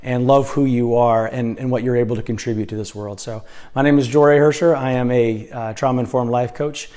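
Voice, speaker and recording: A hoarse man talking earnestly as a life coach in a casual vlog, indoors in a slightly echoey room, with frequent fillers and restarts. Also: medium pitch, steady tone, brisk, mellow.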